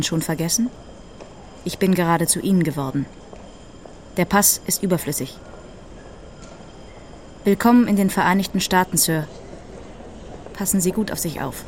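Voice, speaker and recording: A woman playing a calm immigration officer. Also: on the low side, moderate pace, pauses often, a little hiss or hum, lightly acted. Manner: calm, polite, unbothered. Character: calm female immigration officer, matter-of-fact. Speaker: actor in radio play. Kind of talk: radio drama acting.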